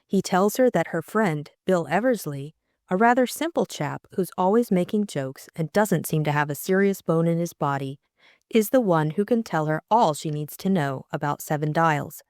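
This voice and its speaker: A woman's voice: lowish voice, animated, normal speed, darkish tone, no echo, studio quality. A woman narrating a scripted story as an audiobook co-host.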